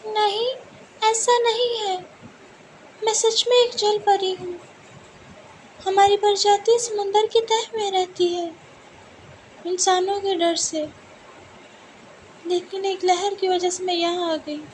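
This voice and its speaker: A woman's voice: very high voice, steady tone, slow pace, long pauses, a little mellow, slight background noise, pitched above the performer's own voice, poor audio. A woman voicing a timid, earnest young mermaid in a children's storybook tale. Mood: pleading, insistent.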